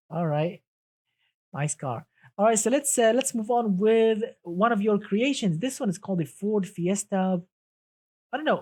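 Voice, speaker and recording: A man's voice: high-pitched, a little mellow. A man whose first language is Arabic, chatting casually on a live stream about cars and reading out viewer comments, with many restarts and a friendly "my friend.".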